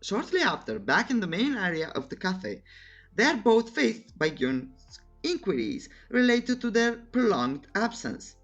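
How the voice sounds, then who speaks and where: A woman's voice: mid-range voice, big pitch swings, measured pace, faint music behind. A woman narrating a scripted story recap in a cheeky, tongue-in-cheek way with playful asides.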